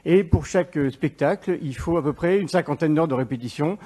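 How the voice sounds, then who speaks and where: A man who is an actor pitching his startup on stage, joking with the audience. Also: a little fast, a little mellow. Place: event stage.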